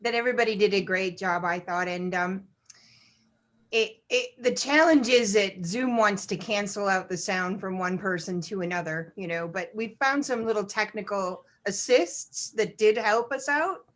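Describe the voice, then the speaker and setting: A woman's voice: medium pitch, moderate pace. A woman from a theatre company chatting casually on a video call. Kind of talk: casual chat. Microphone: video call microphone.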